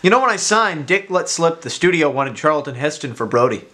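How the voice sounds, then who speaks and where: Man reading seasoned actor, matter-of-fact, even, bright tone. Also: on the high side, fairly quick. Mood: calm. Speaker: off-camera scene reader. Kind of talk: reading lines in a scene.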